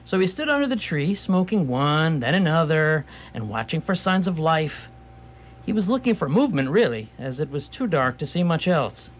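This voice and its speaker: A man playing a wry storyteller, narrating a scripted radio theatre story in a measured way. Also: fairly high pitch, very animated, slight background noise. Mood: wry narration.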